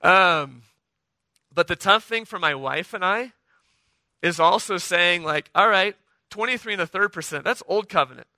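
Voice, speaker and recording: A middle-aged man preaching a sermon, hoarse from the flu, talking conversationally and often checking in with the congregation. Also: high voice, normal speed, sharp tone, no echo. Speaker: pastor.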